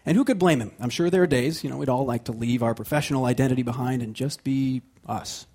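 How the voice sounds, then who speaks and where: A man's voice: medium pitch, fast talker, darkish tone, slightly rough. A male radio host speaking in a wry, conversational scripted style. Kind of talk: scripted radio hosting with songs.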